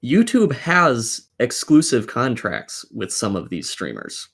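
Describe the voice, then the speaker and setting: A man's voice: mid-range voice, huge pitch swings, slow, husky. A man co-hosting a casual podcast, giving opinionated commentary in a blunt, sweary, argumentative way. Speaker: podcast co-host.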